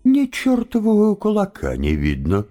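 An actor playing a carefree young seminary philosopher in an audio drama, speaking in an emphatic, colloquial way with mild swearing. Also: male voice, high-pitched, wildly swooping pitch, normal speed, warm tone, faint music behind. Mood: puzzled, annoyed. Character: cheerful young seminary philosopher, carefree drinker, puzzled on road.

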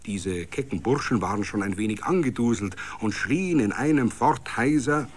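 A man reading a literary story as a narrator, in a vivid, dramatic, expressive way. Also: on the low side, moderate pace. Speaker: narrator, reader.

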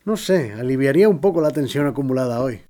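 A man playing a stern, calculating official with a formal, measured delivery in an audio drama. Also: medium pitch, expressive, dark tone, lightly acted. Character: stern, calculating male official plotting crackdowns.

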